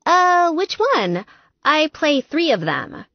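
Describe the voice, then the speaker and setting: A woman narrator voicing a kind but exasperated geeky young woman gamer in an audiobook, placating and brisk. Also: high-pitched, very animated, no room sound, highs cut off, voice pushed up, heavily acted. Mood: flustered.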